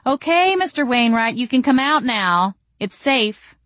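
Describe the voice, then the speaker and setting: A woman's voice: medium pitch, expressive, moderate pace, no echo, natural voice. A woman playing a sarcastic young female vampire shop owner, wry and emphatic, in an audiobook. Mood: cheerful.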